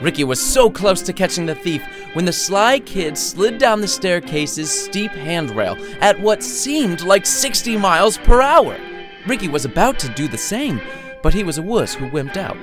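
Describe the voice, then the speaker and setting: A man's voice: sits high, big pitch swings, normal speed. A man reading his own short story as scripted narration, with a dramatic, playful storytelling delivery. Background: music under the voice.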